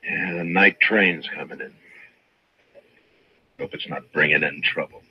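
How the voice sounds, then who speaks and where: A man's voice: rumbling low, measured pace, lo-fi sound. An American man playing a stoic, stern frontier lawman in an old-time radio western, terse, dry and authoritative. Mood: firm, guarded. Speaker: lead actor in a radio western. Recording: noisy vintage radio drama recording.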